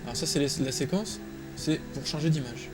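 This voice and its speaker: A man's voice: fairly high pitch, brisk. Casual Frenchman muttering over music. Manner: informal, slangy, muttering to himself. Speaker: drawing tutorial presenter. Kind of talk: casual screen-recorded tutorial, thinking aloud while drawing. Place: small room at home, indoors. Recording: music playing behind the voice.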